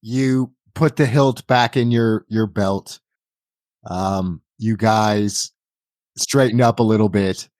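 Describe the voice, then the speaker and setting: A man's voice: on the low side, steady tone, slow pace, a little mellow, dry recording. A man acting as game master, giving rulings and improvised narration in a casual conversational way.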